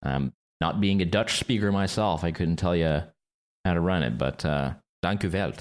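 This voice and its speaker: A very deep-voiced man thinks aloud on a podcast as co-host. He wanders into asides and lets out light chuckles. His pace stays relaxed and conversational. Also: moderate pace. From Quebec, Canada. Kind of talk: casual discussion.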